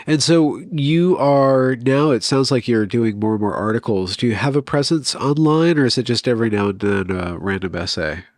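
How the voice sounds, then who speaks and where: A man's voice: medium pitch, moderate pace, hardly any pauses, no echo, studio quality. A man hosting an interview, talking in a laid-back, wry way over a video call with plenty of fillers. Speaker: interview host. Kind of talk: casual interview chat.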